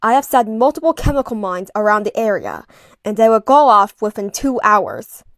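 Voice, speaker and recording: A woman's voice: mid-range voice, sing-song, normal speed, no echo. A synthetic female voice playing an angry, menacing young killer with stilted, threatening delivery. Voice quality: synthetic text-to-speech voice.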